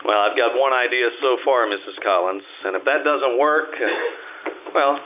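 An actor playing a wry, hard-boiled private detective in an old-time radio drama, with a casual manner. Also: male voice, medium pitch, normal speed, close to an everyday voice. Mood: casual, sly. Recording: noisy, dull amateur stage radio-show recording.

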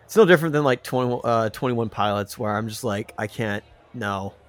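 A man co-hosting a podcast in casual banter, ranting in a halting way and repeating himself with mild exclamations. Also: on the low side. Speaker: podcast co-host.

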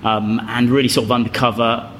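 A man's voice: mid-range voice, level tone, a little fast, fairly bright tone, slight background noise. A man hosting a podcast interview, talking with many fillers and repeated words. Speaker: podcast host. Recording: long reverb, faint music.

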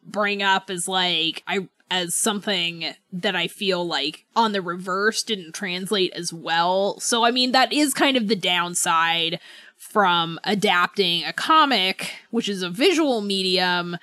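A woman's voice: fairly low pitch, slow pace, pushed and bright. A woman co-hosting a podcast, chatting casually and in a rambling way about books, often trailing off. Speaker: podcast co-host. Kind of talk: casual book club discussion.

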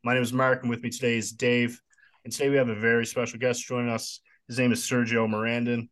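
Man, podcast host, mid-pitched voice, upbeat, welcoming. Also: level tone, fast, fairly bright tone. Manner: upbeat welcoming tone, friendly questions. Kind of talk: podcast intro and interview questions.

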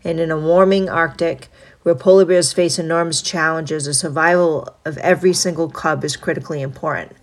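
A woman with a low voice hosts her own podcast. She is stuffed up with a cold, and her nose sounds blocked. She reads items from a list in a steady, even way and drops in brief asides. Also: a little fast. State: congested from a cold. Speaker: podcast host. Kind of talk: solo podcast reading a list.

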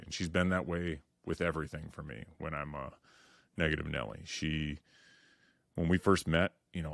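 A middle-aged man with a very deep, low voice talks through a reflective solo podcast. He speaks candidly and earnestly, often restarting his sentences. The sound is dry and close, with no room echo. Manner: candid and earnest, with frequent "you know" and restarts. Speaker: podcast host.

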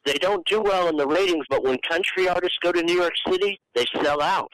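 A man's voice: high voice, fairly quick, fairly bright tone, no echo, low-quality recording. A man chatting casually on a radio talk show panel. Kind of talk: casual chat.

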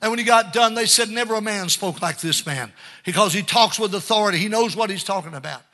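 An older male preacher with a high voice and a very sharp, forceful tone. He delivers a fervent Pentecostal sermon, exhorting the congregation and dropping frequent amens between his points. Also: quick pace. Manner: exhorting, punctuated with frequent amens and calls for response.

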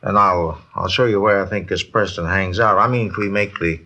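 Husky, wheedling small-time crook. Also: male voice, low-pitched, fairly even intonation, brisk, bright, forceful tone, highs cut off, exaggerated voice. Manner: hesitant, repetitive, pleading. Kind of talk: 1950s radio drama acting. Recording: vintage 1950s radio broadcast.

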